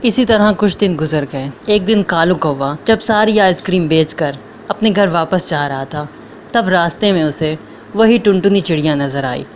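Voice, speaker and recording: Low-voiced woman narrating a story. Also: moderate pace, slight background noise. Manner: steady storytelling.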